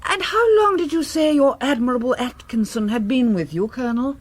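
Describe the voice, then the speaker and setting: A woman's voice: high voice, lively intonation, fairly quick. A woman acting a bossy, vivacious middle-aged English society lady in a radio comedy, commanding, playful and theatrical. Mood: coquettish.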